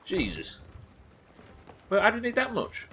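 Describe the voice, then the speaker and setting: A man's voice: high-pitched, wildly swooping pitch, a little slow, sharp tone, a little hiss or hum. An English man doing casual live game commentary, sweary and jokey, bantering and reading out chat at his desk. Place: indoors at a desk.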